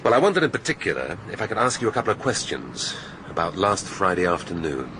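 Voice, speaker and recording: An actor playing a brusque, irascible police chief inspector in a radio drama, blunt and probing. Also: male voice, low-pitched, sing-song, fast talker, background noise.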